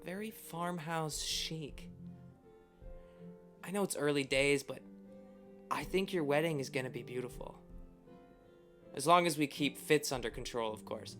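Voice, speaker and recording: An actor playing a teasing, affectionate young brother, talking casually and sincerely in an audio drama. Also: male voice, on the high side, a little slow, long pauses, fairly bright tone, soft background music. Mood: sincere, fond. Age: young adult. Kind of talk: audio drama acting, casual taped toast.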